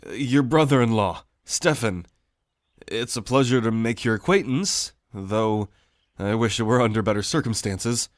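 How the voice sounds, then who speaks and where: A man's voice: on the low side, expressive, normal speed, natural voice. Man playing an earnest, loyal young prince. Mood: firm, courteous. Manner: earnest, courteous. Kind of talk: fantasy audio roleplay acting.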